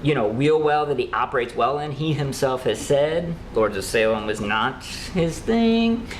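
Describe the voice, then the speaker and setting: A man chats through news as a show co-host. His delivery is animated, with pitch that moves around a lot, and he is playfully opinionated. The room adds echo, with faint noise underneath. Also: measured pace. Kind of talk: casual news chat.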